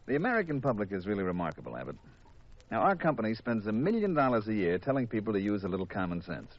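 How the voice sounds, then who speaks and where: Animated, cynical insurance man, old radio. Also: fairly low pitch, fast. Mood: exasperated, sardonic. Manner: exasperated lecturing. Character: cynical, long-winded insurance company investigator. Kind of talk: 1950s radio drama acting. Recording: muffled vintage 1950s radio recording.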